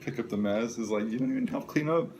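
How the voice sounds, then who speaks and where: A man's voice: fairly low pitch, quick pace, darkish tone, slight background noise. A man co-hosting a casual film discussion podcast in a slangy, loosely phrased way. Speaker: podcast co-host.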